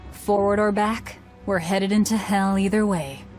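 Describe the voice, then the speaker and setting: A woman's voice: mid-range voice, fairly even intonation, a little slow, a little mellow, faint music behind, natural voice. An actress playing a blunt, vengeful young warrior woman in a video game, with a cool, commanding manner. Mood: grim, resolute. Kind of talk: dramatic English game voice acting.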